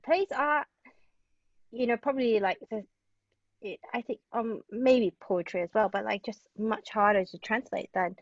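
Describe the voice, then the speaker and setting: A woman's voice: a little high, moderate pace, dry recording, lo-fi sound. A woman from Hong Kong speaking casually as an interview guest, chatty and tentative in her phrasing. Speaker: playwright and translator, interview guest.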